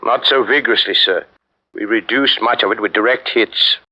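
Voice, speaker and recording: An actor playing a dutiful Dutch lieutenant who reports to his captain in a crisp military manner, in 1940s radio acting. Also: on the low side, moderate pace, bright, forceful tone. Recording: dull lo-fi 1940s radio broadcast.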